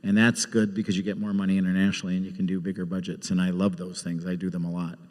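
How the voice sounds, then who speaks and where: A man with a lowish voice talks casually on a panel, with long hall echo around him. He is a television producer appearing as a guest. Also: level tone, fast talker, darkish tone. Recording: echoey hall with long reverb.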